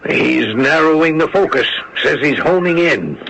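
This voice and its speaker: An actor playing a smug, needling man with a creaky voice in an old-time radio drama. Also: fairly high pitch, animated, a little slow, fairly bright tone, muffled recording, a little hiss or hum, exaggerated voice. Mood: dry, wry.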